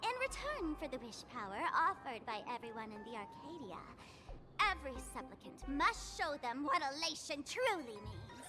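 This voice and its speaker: A woman's voice: high-pitched, sing-song, normal speed. A woman voicing a theatrical, mischievous young masked trickster in an anime-style video game, playful and taunting. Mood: grandiose. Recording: game music clearly behind voice. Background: video game music.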